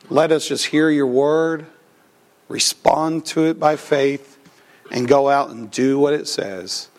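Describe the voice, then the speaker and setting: A man preaching a sermon, reading scripture, praying and telling a personal story, his voice a little froggy from a cold. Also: a little high. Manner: slow, deliberate preaching. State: recovering from a cold, slightly froggy. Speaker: pastor.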